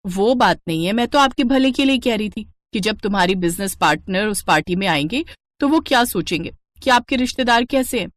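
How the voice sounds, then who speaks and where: A status-conscious wealthy wife from a moral cartoon, acted by a woman. She is falsely polite and full of excuses, in a mid-pitched voice with very little room sound. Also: expressive, a little fast, dry recording, clean studio sound, natural voice. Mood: dismissive. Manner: placating, excuse-making.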